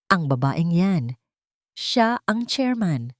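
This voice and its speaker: A woman's voice: on the low side, very animated, slow pace, no room sound. Woman narrator doing spoiled filipino rich son, snappy and defensive, audiobook drama, dry recording. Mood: disbelieving, scornful. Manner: defensive, mixes in English. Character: irritable, arrogant rich adult son.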